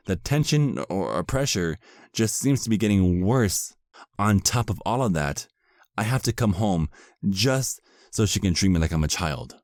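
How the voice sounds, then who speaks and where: A man acts out a put-upon vampire husband in a comedic audio roleplay. The male voice is low and recorded close and dry. He complains with sardonic, hesitant asides. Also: a little mellow, no room sound.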